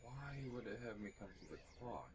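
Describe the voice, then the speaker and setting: A man's voice: on the low side, expressive, moderate pace, background noise. A man streaming gameplay with casual, blunt commentary and offhand, sweary reactions.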